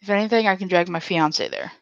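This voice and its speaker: A woman's voice: fairly low pitch, fast talker, no echo. A young woman chatting casually as a podcast guest, giving her opinions bluntly and emphatically. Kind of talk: casual chat. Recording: dull, lo-fi, close dry audio.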